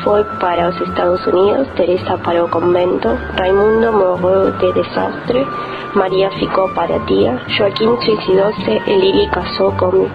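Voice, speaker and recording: A woman's voice: medium pitch, fairly even intonation, moderate pace, creaky, lo-fi sound, music in the background. A woman reciting a poem in a rhythmic way. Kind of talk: poetry recitation.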